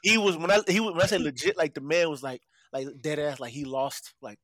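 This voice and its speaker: A man's voice: fairly high pitch, fairly quick, a bit bright, dry recording, clean studio sound. An American man in casual chat, telling teasing anecdotes in slangy, emphatic speech with repeats.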